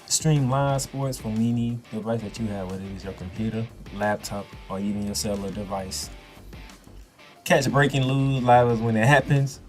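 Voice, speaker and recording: A man hosting a sports podcast, giving excitable football commentary in an announcer-like style with drawn-out, emphatic phrases. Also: fairly low pitch. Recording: echoey room, music underneath.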